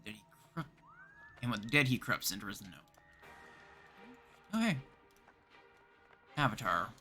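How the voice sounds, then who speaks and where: A man's voice: on the low side, lively intonation, moderate pace, pushed and bright, soft background music. A man from Canada chatting casually as he livestreams a game.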